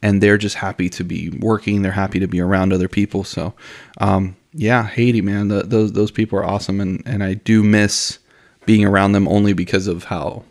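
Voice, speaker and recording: A man's voice: on the low side, flat delivery, fairly quick, darkish tone. A young man from Miami whose family is from Honduras, co-hosting a podcast in a laid-back chat, saying dude and you know a lot and laughing easily. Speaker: podcast co-host. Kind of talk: casual chat.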